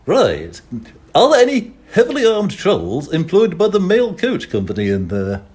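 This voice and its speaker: A man voicing a loud, methodical stranger in an audiobook who questions people as if working down a list. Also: sits high, huge pitch swings, moderate pace, breathy edge, voice pushed up, full character voice.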